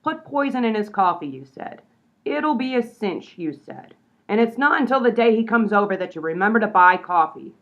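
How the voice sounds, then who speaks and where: A woman's voice: medium pitch, very animated, moderate pace, muffled recording. Woman playing an exasperated, scolding gangster moll. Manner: nagging, slangy old-time gangster talk. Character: exasperated, scolding tough female gangster sidekick. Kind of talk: comedy radio play acting.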